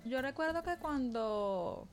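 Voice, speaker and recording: A woman's voice: high-pitched, animated, slow pace, faint music behind. A woman interviewing a guest, asking long hesitant questions. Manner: hesitant, many fillers and restarts.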